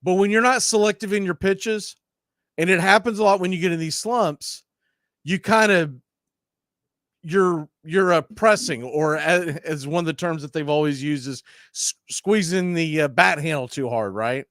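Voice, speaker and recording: Sports stream host, male, slightly high register, opinionated and casual, often self-correcting, very dry audio. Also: moderate pace, fairly bright tone, dry recording. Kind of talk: casual live sports talk.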